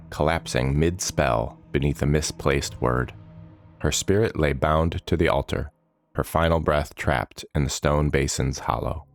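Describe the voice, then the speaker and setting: A man reading a scripted fantasy story as its narrator. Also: a bass voice.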